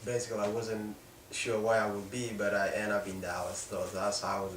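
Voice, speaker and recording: South sudanese guy telling his life story, lowish voice, halting, stops mid sentence, mic kinda far away w a bit of noise. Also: flat delivery, fairly bright tone. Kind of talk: personal storytelling, unscripted. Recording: echoey room, distant mic, faint noise.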